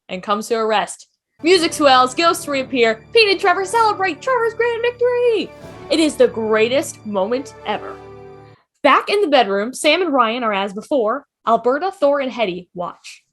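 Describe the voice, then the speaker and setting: A woman with a high, animated voice reads scripted stage directions for a table read. Her narration is expressive, delivered over a video call.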